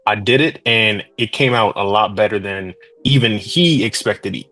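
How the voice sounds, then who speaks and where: A young man from Trinidad, now a 3D artist, talking about his path in a relaxed, rambling interview manner. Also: fairly low pitch, moderate pace, dry recording, poor audio. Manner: laid-back, rambling, slangy. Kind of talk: casual interview chat.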